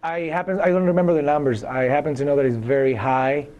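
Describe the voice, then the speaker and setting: A man in his thirties from Chile, speaking English with a Chilean Spanish accent as a university professor answering interview questions. He talks in a thoughtful, halting way, with many fillers and restarts. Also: a little high, fairly even intonation, muffled recording.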